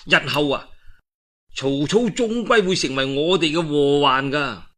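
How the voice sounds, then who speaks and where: A man's voice: a little high, lively intonation, unhurried, fairly bright tone. A man voicing a scheming male advisor who counsels his lord in a deferential, urging manner, as part of a told story. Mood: wary.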